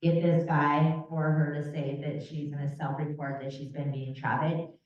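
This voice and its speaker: Woman, low register, informal advocate-speaker, conversational, seeks agreement often; distant, muffled pickup. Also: level tone, moderate pace. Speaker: trafficking survivor advocate and speaker. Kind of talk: informal panel talk with personal story. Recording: muffled, echoey room, far from mic.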